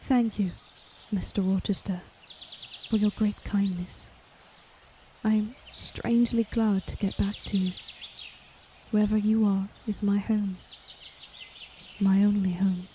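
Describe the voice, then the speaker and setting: A woman's voice: medium pitch, little pitch movement, measured pace, pauses often, dark tone, a little hiss or hum, natural voice. A young woman playing an earnest, devoted governess in a gothic romance audio drama, with polite, restrained period speech. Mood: grateful, devoted. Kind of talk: audio drama acting with first-person narration.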